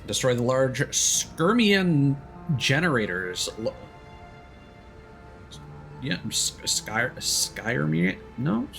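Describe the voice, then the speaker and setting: Male game streamer, casual let's play commentary over soft background game music. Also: mid-range voice, big pitch swings, extremely slow, gravelly, long pauses. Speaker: streamer playing a video game.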